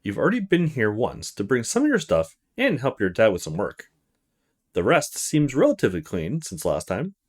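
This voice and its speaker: A man reading the text of a visual novel aloud as a let's player, in an expressive style. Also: mid-range voice, normal speed.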